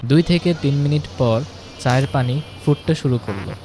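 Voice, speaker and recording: A man's voice: mid-range voice, moderate pace, music in the background. A man reading a scripted, story-like voice-over for a home cooking video. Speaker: home cooking channel narrator.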